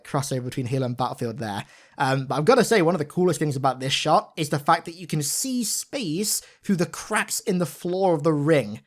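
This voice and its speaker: Higher-voiced man doing game commentary. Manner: informal, gushing, emphatic. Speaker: video game content creator. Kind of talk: enthusiastic breakdown commentary.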